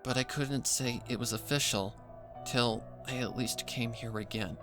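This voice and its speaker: A man voicing a gentle, earnest young pony mare who speaks softly and sincerely, in a fan fiction story reading. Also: mid-range voice, steady tone, measured pace, over music, lightly acted. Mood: reflective, regretful.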